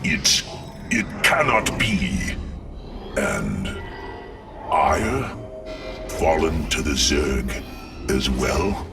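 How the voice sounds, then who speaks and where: An actor playing a proud veteran alien warrior revived as a war machine in a video game, with a gravelly voice and a booming, archaic, declamatory delivery. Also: male voice, very animated, very slow, pauses often, distant mic, exaggerated voice. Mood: troubled. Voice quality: deep, gravelly. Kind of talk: dramatic game cinematic acting. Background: clear cinematic music.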